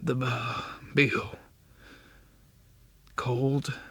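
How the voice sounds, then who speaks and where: A crystalline alien commander from a space story, played by a male narrator, pitched well above the storyteller. He speaks extremely slowly in husky, disbelieving fragments, halting between words as if in horror. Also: little pitch movement, fairly bright tone, no echo, heavily acted. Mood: horrified disbelief. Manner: halting, fragmented, one word at a time.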